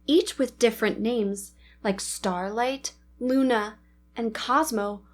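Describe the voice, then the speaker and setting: A woman narrating a children's storybook read-aloud as host, with expressive, deliberate phrasing for young listeners. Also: a little high. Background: faint music.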